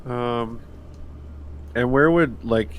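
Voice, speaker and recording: A man playing a tabletop game, speaking casually in a hesitant, halting way. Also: mid-range voice, a little hiss or hum.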